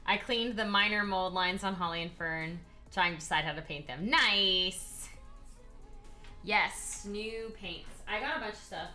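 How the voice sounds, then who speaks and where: A hoarse woman streaming herself painting miniatures, chatting casually with many ums and reading chat aloud. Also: mid-range voice, unhurried, bright, forceful tone, faint music behind. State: hoarse, voice partly lost.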